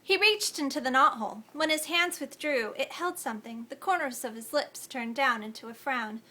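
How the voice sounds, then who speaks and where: A woman author reading aloud from her novel, expressive in the narration and chatty in her asides. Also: sits high, moderate pace. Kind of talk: audiobook-style reading.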